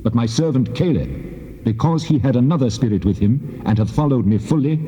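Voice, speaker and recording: A man's voice: mid-range voice, expressive, a little fast, dark tone, lightly acted. A man playing a wrathful, majestic God in a dramatized scripture recording, speaking in solemn declamation. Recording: muffled, long cavernous reverb.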